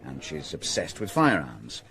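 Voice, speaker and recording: An actor with a British accent playing a dogged, exasperated French police detective in a radio drama, his voice slightly husky. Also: extremely low pitch, huge pitch swings, a little slow, a bit bright, heavily acted. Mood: indignant, frustrated. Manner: hesitant musing breaking into indignant outbursts.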